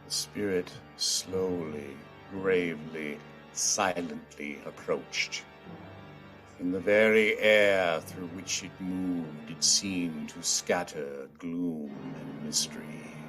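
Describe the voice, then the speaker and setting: A man playing the solemn narrator of a ghost tale in a radio play, grave and deliberate. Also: low-pitched, steady tone, fairly bright tone, soft background music, rough recording. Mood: grave, dramatic. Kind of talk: dramatic scripted narration.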